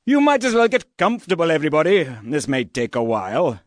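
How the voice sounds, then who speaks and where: A man plays an officious police sergeant in a radio mystery. His formal, methodical questioning swings widely in pitch, in a dry recording. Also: huge pitch swings, fairly quick, dry recording. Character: brisk, methodical police sergeant investigating a death. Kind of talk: radio murder mystery acting.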